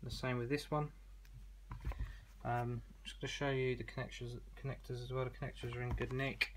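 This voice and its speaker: A man's voice: medium pitch, little pitch movement, brisk, background noise. A man giving an informal product demonstration as an online seller, explaining in a conversational, slightly hesitant way.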